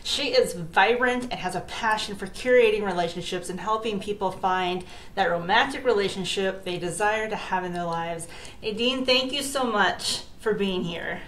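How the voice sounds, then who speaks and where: A woman's voice: medium pitch, normal speed, a bit bright, a little hiss or hum, rough recording. A middle-aged woman hosting a podcast, introducing and interviewing a guest. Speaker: podcast host.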